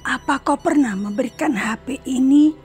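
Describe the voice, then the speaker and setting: A woman voicing a calm, self-assured psychic in a horror cartoon, speaking in a measured, often hushed way. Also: sits high, faint music behind, close to an everyday voice. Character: calm female psychic, middle-aged, sure of herself. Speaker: paranormal.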